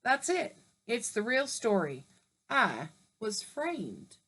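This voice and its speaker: A woman's voice: on the high side, huge pitch swings, slow, a bit bright, full character voice. A woman reading aloud as a male wolf telling his side, mock-innocent and indignant. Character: wolf, male, grumbling sly self-pitying narrator.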